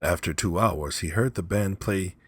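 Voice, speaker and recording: Deep, husky male storyteller. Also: normal speed, no echo, studio quality. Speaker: audiobook narrator. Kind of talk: scripted storybook narration.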